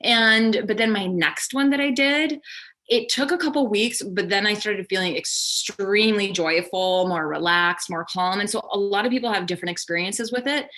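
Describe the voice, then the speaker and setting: A woman's voice: medium pitch, normal speed, bright, forceful tone. A young adult woman, a company founder, giving a thorough step-by-step explanation as an interview guest.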